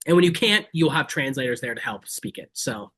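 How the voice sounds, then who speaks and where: A man from Kenya giving an informal, earnest and emphatic webinar sales pitch as a safari tour operator. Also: very animated, a little fast, fairly bright tone, breathy edge. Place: online video call.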